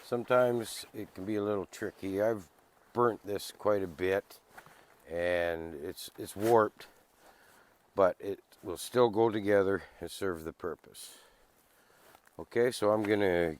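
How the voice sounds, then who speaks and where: A man's voice: on the low side, unhurried, a little hiss or hum. A man with a congested voice from a cold, chatting casually to camera in the woods while he sets up camp gear. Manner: rambling, easygoing, thinks aloud. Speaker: outdoor vlogger. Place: outdoors in the woods.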